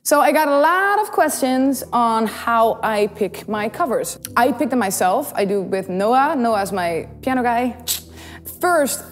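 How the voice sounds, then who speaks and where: A woman's voice: on the high side, measured pace, big-room sound. A young woman with a Dutch accent, chatting casually to camera in a small studio, bubbly and giggly as she tells stories. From Netherlands. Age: young adult. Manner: bubbly, giggly, animated storytelling. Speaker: YouTube singer and host. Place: small studio in front of a blue backdrop. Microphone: studio condenser mic on the desk.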